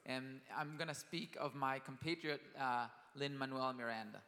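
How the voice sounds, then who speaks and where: A man's voice: medium pitch, fairly even intonation, normal speed, pushed and bright. A man on a conference hall stage interpreting a sermon phrase by phrase, with brief hesitations. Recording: large hall reverb.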